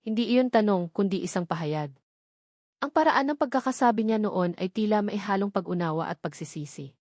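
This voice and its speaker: Female storyteller, scripted dramatic narration, mid-pitched voice, very dry close recording. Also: animated, a little fast, darkish tone, no room sound.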